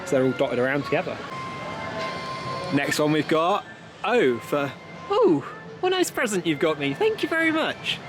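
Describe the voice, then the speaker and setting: A young British man with a slightly high-pitched voice talks casually to his camera. He sounds like a vlogger recording himself outdoors at arm's length. Music plays clearly behind him. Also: very animated, moderate pace, pauses often. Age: young adult. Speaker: theme park vlogger. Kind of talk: casual vlog chat to camera. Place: outdoors in a theme park.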